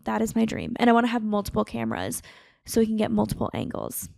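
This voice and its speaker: Podcast host, woman, late 20s, slight vocal fry, close and dry sound, earnest solo chat. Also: a little high, big pitch swings, fast talker, warm tone, dry recording. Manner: chatty, earnest, heavy on fillers. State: tearful, emotional. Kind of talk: casual solo podcast monologue.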